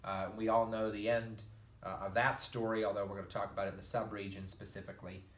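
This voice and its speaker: A male teacher with a fairly low voice talks through a recorded lecture, rambling and restarting his sentences. His voice sounds a little strained, as if he is losing it. The sound carries a noticeable room echo. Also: flat delivery, fast. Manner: rambling, conversational, many fillers and restarts.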